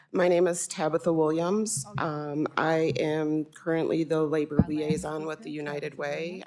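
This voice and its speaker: A woman's voice: low-pitched, a little mellow. A woman, a school board candidate and parent, making a firm, composed statement during public comment at a board meeting.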